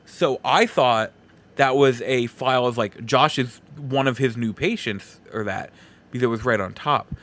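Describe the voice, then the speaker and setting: Casting: male podcast host for a solo film review, informal and chatty, medium-pitched voice, prone to rambling asides. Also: normal speed, a bit bright. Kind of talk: casual solo movie review talk.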